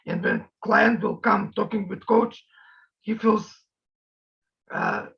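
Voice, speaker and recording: A male coach speaking as an in a slow, halting way, searching for his words. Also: high-pitched, gravelly. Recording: dull, lo-fi sound.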